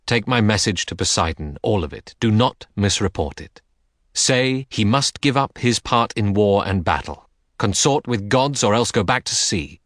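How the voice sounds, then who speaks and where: Man voicing a deep, commanding king of gods. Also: lively intonation, normal speed, fairly bright tone, dry recording, studio quality. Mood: stern command. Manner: authoritative, stern. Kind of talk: dramatic audiobook character reading.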